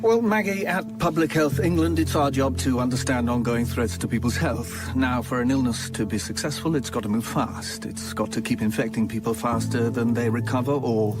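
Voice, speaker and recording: An actor as a measured health chief giving a radio interview. His mid-range voice is official and reassuring, explaining things over a music bed. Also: mid-range voice, lightly acted. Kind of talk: news interview within radio drama.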